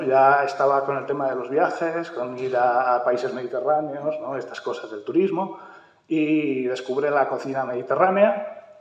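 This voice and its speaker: Man from Mallorca, hesitant, echoey hall. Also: medium pitch. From Mallorca, Spain. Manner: hesitant, many fillers. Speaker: panel speaker. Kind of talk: round-table talk. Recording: echoey hall, dull sound.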